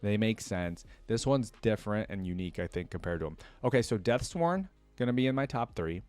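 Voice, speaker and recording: A man's voice: fairly low pitch, expressive, brisk, a little mellow, clear, resonant tone, dry recording, faint music behind. A man hosting a reaction video, giving casual commentary in an informal way, thinking aloud and often indecisive.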